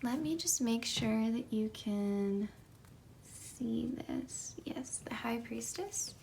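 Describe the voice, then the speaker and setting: Young woman, tarot reader, chatty, raspy morning voice, fairly high pitch. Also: expressive, slow pace, noisy background. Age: young adult. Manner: chatty, light laughs, soft whispered card calls. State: just woken up, slightly raspy. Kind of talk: casual pick-a-card tarot reading.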